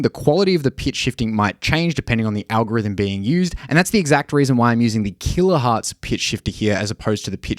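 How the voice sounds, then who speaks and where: A man giving a measured, clearly explained tutorial narration as a music production tutor. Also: medium pitch, dry recording, studio quality.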